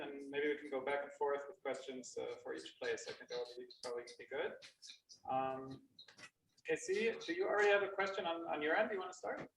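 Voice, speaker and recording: A man with a slightly high voice runs the session from an event room, coordinating questions casually. He is heard from far off in an echoey room, with faint noise and clicks behind him. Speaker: local facilitator. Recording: roomy and distant with faint noise.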